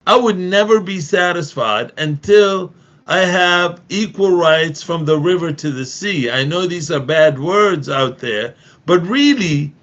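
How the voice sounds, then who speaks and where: A man's voice: a little high, fairly bright tone, muffled recording. A middle-aged Palestinian man in an earnest discussion, talking emphatically with frequent restarts and repeated phrases. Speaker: activist guest.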